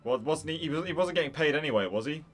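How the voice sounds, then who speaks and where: Low-pitched woman with very animated intonation. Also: quick pace, soft background music. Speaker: gaming video host. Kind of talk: casual playthrough reaction commentary.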